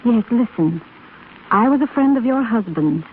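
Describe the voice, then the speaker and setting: An actress playing a gracious, emotional widow in a 1930s radio drama. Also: female voice, a little high, expressive, normal speed, natural voice. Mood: puzzled, earnest. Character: grieving, genteel widow, emotional but gracious. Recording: scratchy, tinny 1930s radio broadcast recording.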